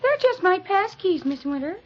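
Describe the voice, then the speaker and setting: An old, muffled radio drama recording. a meek maid with an extremely high voice, deferential and apologetic in every phrase. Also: female voice, sing-song, moderate pace. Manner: polite, apologetic. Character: timid, deferential hotel maid. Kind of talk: 1950s radio mystery acting.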